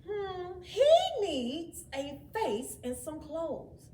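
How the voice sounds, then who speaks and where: A woman voicing an excited young girl in a storybook, eager and exclaiming. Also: high voice, drawn-out words, echoey room, distant mic, slight background noise, pitched above the performer's own voice, heavily acted.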